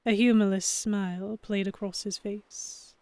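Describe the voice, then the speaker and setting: A woman playing an earnest, self-conscious heroine, narrating a historical romance audio drama in the first person with measured, introspective delivery. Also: mid-range voice, little pitch movement, a little mellow, no room sound, natural voice. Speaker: narrator and character.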